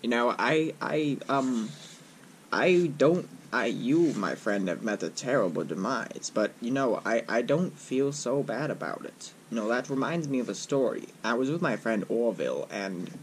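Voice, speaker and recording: A man voicing a glum, absent-minded pig robot from a game, halting and deadpan. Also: mid-range voice, a little hiss or hum, rough recording. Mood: glum, rambling.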